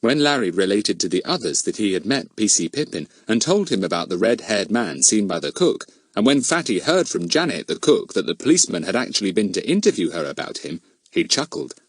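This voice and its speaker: A man reading a children's story as an audiobook narrator, in an expressive storytelling delivery. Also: fairly low pitch, a little fast, slightly rough, no room sound.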